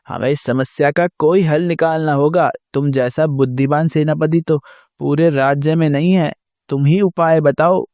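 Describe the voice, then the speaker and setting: Man acting a mellow, prudent storybook king. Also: on the high side, no echo, studio quality, lightly acted. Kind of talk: Hindi cartoon moral story acting.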